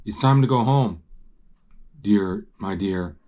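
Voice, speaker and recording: Man reading as mellow, coaxing mother rabbit. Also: fairly low pitch, slow. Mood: affectionate. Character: fond, comforting mother rabbit in a storybook.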